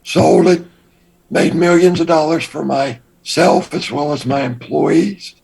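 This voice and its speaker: An older man with a raspy voice, a guest telling his past story in a measured, deliberate way. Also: a little high, a little mellow. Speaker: interview guest. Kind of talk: recounting his past in an interview.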